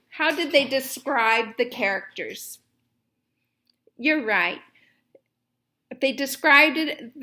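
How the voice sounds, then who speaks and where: A woman storyteller and teacher reading aloud to children expressively, with deliberate phrasing. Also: high-pitched, bright, forceful tone.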